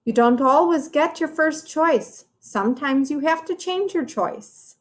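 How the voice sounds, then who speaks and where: A patient female teacher in a children's storybook, acted out by a woman reader. She guides and instructs in a high-pitched voice. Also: unhurried. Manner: guiding, instructive.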